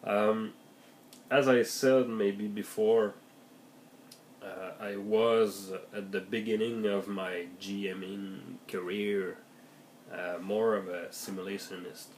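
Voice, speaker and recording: Slow, low-voiced man with a raspy cold. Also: level tone, darkish tone, slight background noise, rough recording. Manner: hesitant, many fillers and restarts, thoughtful. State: has a heavy cold, voice deeper and raspier than usual. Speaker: game master giving tips. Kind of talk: casual vlog monologue.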